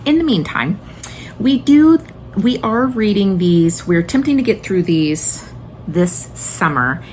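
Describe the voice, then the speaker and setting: A woman's voice: medium pitch, lively intonation, a little slow, darkish tone, a little hiss or hum, low-quality recording. A woman in her late thirties chatting casually and enthusiastically to viewers as she recommends books, with emphatic, informal delivery. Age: late 30s. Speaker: homeschooling mother and video host.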